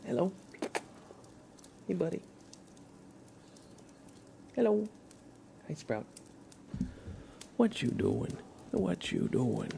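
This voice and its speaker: A man hosting an ASMR livestream, chatting gently and informally in a soft, breathy voice that often slips into a whisper, close to the microphone. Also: fairly high pitch, very animated, drawn-out words, long pauses, noisy background. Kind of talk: soft-spoken ASMR, casual live chat.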